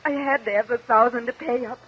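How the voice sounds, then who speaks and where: A woman's voice: a little high, fairly even intonation, brisk, breathy edge. An actress playing a defiant, spoiled young niece in her twenties in a 1950 radio drama. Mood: anxious, sulky. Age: 20s. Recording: muffled, noisy vintage 1950 radio recording.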